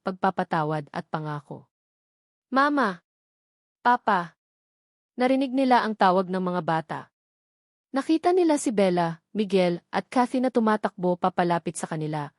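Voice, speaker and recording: A Filipino woman narrates a scripted love story. Her pitch sits in the middle range for a woman. The sound is dry, with no echo around the voice. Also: expressive, fairly quick, darkish tone.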